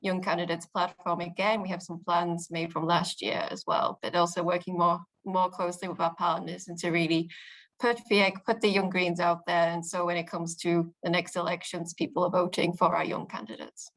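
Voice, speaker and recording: A young British woman speaking in a fairly low voice. She talks earnestly and upbeat, with plenty of ums and restarts, like an interviewee thinking aloud. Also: level tone, moderate pace, fairly bright tone, poor audio. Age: young adult. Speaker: interviewee, youth political spokesperson. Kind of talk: casual interview answers.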